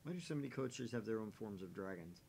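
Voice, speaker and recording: A man's voice: fairly low pitch, lively intonation, fast, gravelly, a little mellow, slight background noise. A man co-hosting a game stream, casually explaining his opinions at length and repeating phrases to make his point. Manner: explains at length with repetition and restarts. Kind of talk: casual chat, opinionated musing.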